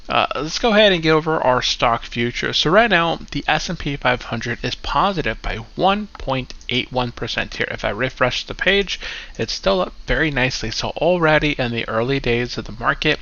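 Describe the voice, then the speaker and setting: Stuffy, gravelly upbeat finance vlogger man. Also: medium pitch, expressive, moderate pace, bright, forceful tone, highs cut off. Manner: upbeat, keeps saying "go ahead". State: congested, stuffy. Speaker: investing channel host. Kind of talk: casual finance vlog walkthrough.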